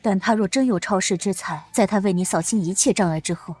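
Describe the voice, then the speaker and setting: A woman voicing a shrewd middle-aged empress and protective mother in a Chinese period drama, speaking in a measured, imperious way. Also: mid-range voice, darkish tone, breathy edge, no echo, close to an everyday voice. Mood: stern, authoritative.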